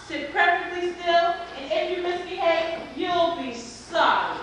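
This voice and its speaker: A woman's voice: very high voice, a little slow, fairly bright tone. A woman playing a stern, wicked substitute teacher in a children's readers theater show, declaiming her lines. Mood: stern, threatening. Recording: noisy, echoey hall, far from microphone.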